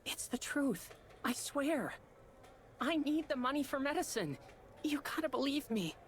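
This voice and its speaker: A woman's voice: high voice, lively intonation, unhurried, slight background noise. A woman voicing a scrappy young boy inventor in a video game, brash and slangy. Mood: earnest pleading. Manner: brash, slangy, emotional pleading. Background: game music.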